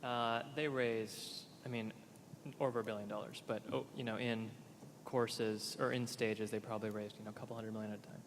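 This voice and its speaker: A man's voice: lowish voice, level tone, fairly quick, a little hiss or hum. A man on a panel casually telling a story about a portfolio company. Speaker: investor panelist. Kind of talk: panel discussion, anecdote. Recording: reverberant hall with faint ambience.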